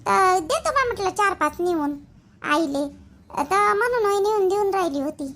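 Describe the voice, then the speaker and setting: A woman's voice: very high-pitched, very animated, moderate pace, a bit bright, exaggerated voice. A woman voicing a timid, resentful young daughter-in-law in a family cartoon, muttering hesitantly in a Varhadi Marathi accent. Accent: Varhadi Marathi (Vidarbha). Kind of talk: animated family drama acting.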